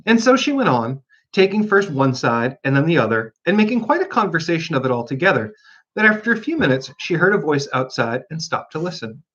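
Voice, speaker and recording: A man narrates a storybook as an audiobook reader. His voice is on the high side and very animated, with expressive delivery. The recording is slightly dull and lo-fi. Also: a little fast.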